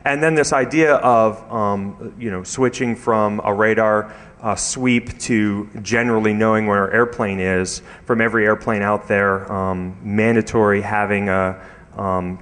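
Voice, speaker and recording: A man giving an informal technical conference talk with slides, speaking haltingly with frequent hesitations and repeated words. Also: lowish voice, a bit bright. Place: large indoor conference hall. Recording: echoey hall with long reverb.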